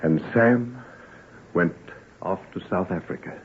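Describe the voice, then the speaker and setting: Grave gentleman doctor, tinny radio. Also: sits low, takes it slowly. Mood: concerned, questioning. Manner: formal, earnest, theatrical. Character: grave, remorseful gentleman doctor, earnest and determined. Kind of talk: old-time radio drama acting. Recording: tinny, noisy old radio recording.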